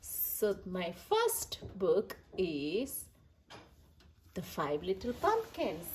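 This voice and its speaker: A woman reading stories aloud for children as the host, animated and emphatic with repeated words. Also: mid-range voice, drawn-out words, slight background noise. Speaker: children's story reader and host.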